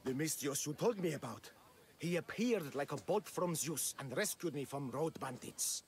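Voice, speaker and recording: An actor playing a pious, grateful village artist in a video game, with a florid, proclaiming manner. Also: male voice, a little high, lively intonation, normal speed, a little mellow, slight background noise. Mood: proud, effusive. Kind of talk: video game cutscene acting.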